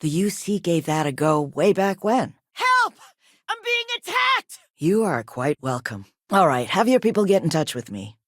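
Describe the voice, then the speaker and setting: A woman voicing a composed, businesslike company owner in a video game, with a polite, measured and formal manner. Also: on the low side, wildly swooping pitch, no echo, studio quality, full character voice. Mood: alarmed shouting. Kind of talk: video game dialogue acting.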